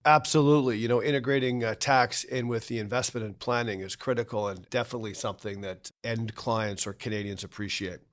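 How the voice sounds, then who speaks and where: A man's voice: mid-range voice, fairly even intonation, brisk, few pauses. A middle-aged man hosting a podcast interview, asking friendly, leading questions. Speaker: podcast host.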